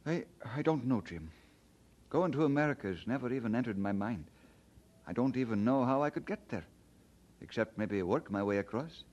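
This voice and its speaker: A man's voice: medium pitch, animated, normal speed, darkish tone, muffled recording, lightly acted. An actor playing an earnest, hesitant young man who longs to preach, in old-time radio drama style. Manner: earnest, hesitant, old-fashioned Scots turns of phrase. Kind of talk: mid-century radio drama acting.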